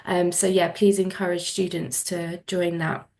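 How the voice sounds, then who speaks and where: A woman's voice: lowish voice, flat delivery, measured pace, vocal fry, darkish tone. Congested, slightly nasal woman presenting. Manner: many fillers, um and kind of. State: congested with a cold, a bit nasal. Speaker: museum environmental manager. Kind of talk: presentation talk.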